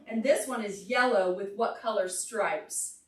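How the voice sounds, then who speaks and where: A woman children's librarian reading a picture book aloud expressively to preschoolers, pausing to explain simply and ask them questions. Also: medium pitch, recorded from a distance. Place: large carpeted indoor library meeting room. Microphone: distant camera microphone. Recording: roomy, picked up from across the room.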